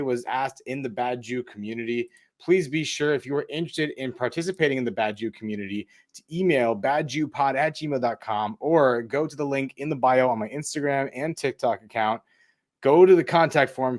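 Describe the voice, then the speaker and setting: A man hosting a podcast, friendly and energetic as he plugs the show and welcomes his guest. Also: medium pitch, moderate pace. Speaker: podcast host.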